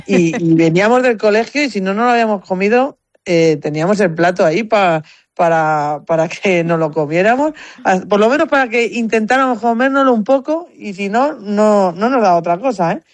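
A woman's voice: medium pitch, a little fast, no room sound. Chatty Spanish woman in a dry recording. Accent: Spanish from Spain. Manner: chatty, anecdotal, repeats words while thinking. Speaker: competitive shooter, interview guest. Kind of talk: casual conversational interview answers.

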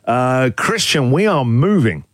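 A man hosting a radio breakfast show, jokey and excitable as he hypes up listeners. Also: medium pitch, unhurried, dry recording, studio quality. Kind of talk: lively radio banter. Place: radio studio. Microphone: studio microphone.

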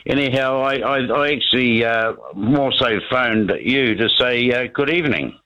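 A man's voice: medium pitch, normal speed, sharp tone. Blunt New man on a phone line. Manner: blunt, wry, hesitant with uhs. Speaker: regular caller. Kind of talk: casual phone call to radio show. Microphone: telephone.

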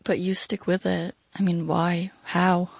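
Very creaky-voiced woman interviewer. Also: on the low side, little pitch movement, measured pace, darkish tone, no echo. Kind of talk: measured interview questioning.

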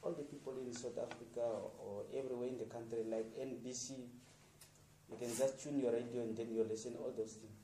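A radio producer from Namibia talking informally to students, encouraging them with plain, repetitive sentences and restarts. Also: male voice, mid-range voice, fairly even intonation, brisk, mellow, roomy sound, recorded from a distance, a little hiss or hum, rough recording.

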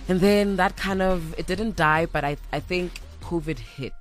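A young South African woman with a deep voice, talking candidly and playfully as an interview guest, slipping into Zulu at times. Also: moderate pace, soft background music. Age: young adult. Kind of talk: casual radio interview, storytelling.

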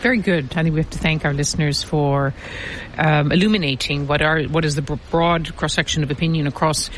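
A woman correspondent giving measured radio analysis, with frequent fillers and restarts. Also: low voice, a little hiss or hum, poor audio. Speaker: foreign correspondent.

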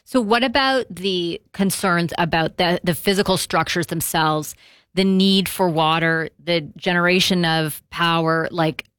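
Low-voiced host asking rambling questions. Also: female voice, a little slow, a bit bright, clean tone, no room sound. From Greek family background. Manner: conversational, rambling questions with fillers. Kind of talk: casual radio chat.

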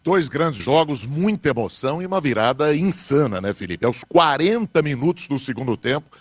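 A man talking as a radio sports commentator on a morning show, explaining matches in a measured way. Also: a little high, dry recording.

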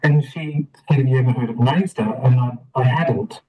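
An Australian man from Melbourne, a radio interview guest telling his life story in a relaxed way, with many ums and easy laughs. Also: mid-range voice, normal speed, husky, low-quality recording. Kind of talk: conversational storytelling.

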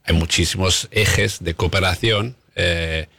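A middle-aged man, an ambassador whose first language is Italian, giving measured interview answers with many hesitations and tag questions. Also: low voice, fairly even intonation, gravelly, pushed and bright, dry recording. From Italy. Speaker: EU ambassador, guest.

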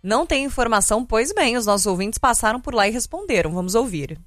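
A woman's voice: a little high, animated, fast talker, dry recording, soft background music. Brazilian woman presenting radio news, dry studio sound. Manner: conversational, explanatory. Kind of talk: live radio news and traffic reporting.

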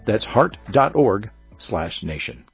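A man's voice: fairly low pitch, very animated, slow pace, vocal fry, breathy edge. A man reading a scripted public service ad as a voice-over, in an urgent, rallying way. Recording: lo-fi audio with music behind.

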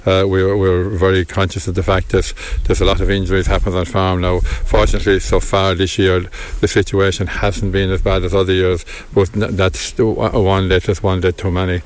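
Deep, flat-voiced farming spokesman. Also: slight background noise. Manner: measured, halting with many restarts. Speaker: farm organisation representative. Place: outdoors on a potato farm.

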